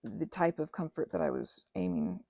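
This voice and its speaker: A woman rambling casually as she crafts, talking in a gushing, halting way and often interrupting herself. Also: sits low, sing-song, creaky, mellow, no echo, lo-fi sound. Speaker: hobby ASMR and roleplay audio creator.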